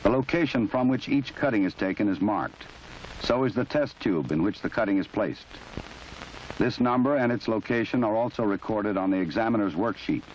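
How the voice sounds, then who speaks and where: Male narrator, low register, measured and exact instructional read, dated film audio with faint background noise. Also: darkish tone. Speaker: narrator of a forensic training film. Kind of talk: formal scripted documentary narration. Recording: muffled, noisy old 1970s film soundtrack.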